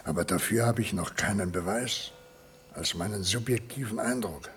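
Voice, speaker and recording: A man acting a guarded, earnest scientist in his fifties, shaken and halting, in a radio play with a very husky, breathy voice. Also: lowish voice, fairly bright tone, a little hiss or hum, lightly acted. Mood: earnest, urgent. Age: 50s. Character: 51-year-old male scientist, shaken patient, guarded, earnest. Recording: vintage radio play recording.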